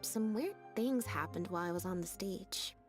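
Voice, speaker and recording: An actress voicing a deadpan, understated young female magician's assistant with robot-like habits in a video game. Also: on the low side, moderate pace, natural voice. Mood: flat, mildly weary. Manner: deadpan, understated, matter-of-fact. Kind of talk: video game character dialogue. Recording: game audio with music behind. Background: background music.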